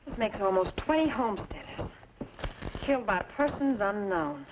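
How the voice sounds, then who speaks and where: Outspoken young western gal, earnest, highish voice, muffled old recording. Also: female voice, animated, moderate pace, creaky. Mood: indignant, pleading. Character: earnest, outspoken young frontier newspaperman's daughter. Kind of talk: old western screen drama acting. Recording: dull old soundtrack, faint hiss.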